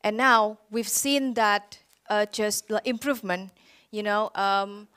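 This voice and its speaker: A woman speaks on a conference panel, pausing often with fillers. Her voice is smooth and clear. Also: medium pitch, fairly even intonation, a bit bright. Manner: hesitant, filler-heavy.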